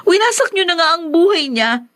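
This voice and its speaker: An actress playing a distraught, pleading daughter in a Filipino radio drama. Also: female voice, very high-pitched, very animated, moderate pace, a bit bright, faint music behind, heavily acted. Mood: tearful reproach. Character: distraught adult daughter pleading for her dying brother.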